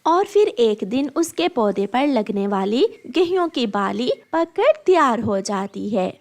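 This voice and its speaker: A woman's voice: on the high side, very animated, unhurried. A woman narrating a scripted cartoon story as a storyteller.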